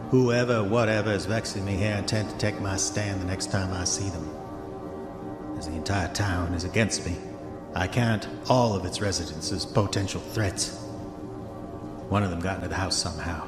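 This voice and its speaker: A man's voice: low voice, close to an everyday voice. An actor playing a proud, paranoid man guarding his gold in a haunted house, with formal, declamatory delivery, for a horror audio drama. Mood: brooding, suspicious. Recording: echoey, long reverb, music bed.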